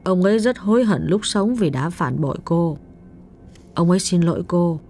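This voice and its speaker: A man's voice: high voice, animated, normal speed, a little mellow, voice pushed up. A man voicing a female spirit medium who urges and coaxes firmly, in a raised voice. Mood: calm assured. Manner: urgent, insistent urging. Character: female medium, firm, coaxing and insistent. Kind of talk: audiobook narration.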